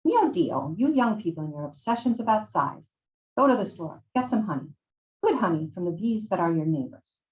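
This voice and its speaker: A woman's voice: fairly low pitch, big pitch swings, normal speed, darkish tone, low-quality recording. A woman voicing a loving, snarky old Italian shopkeeper who fusses and bosses in an Italian accent, read from a novel. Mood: bossy. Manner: bossy, mothering, exclamatory.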